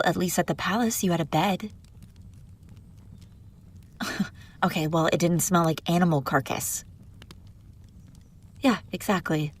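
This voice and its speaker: A woman's voice: lowish voice, husky. A woman playing a haughty, bratty young runaway princess in a roleplay audio drama, sarcastic and bickering with the listener. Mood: mocking, teasing.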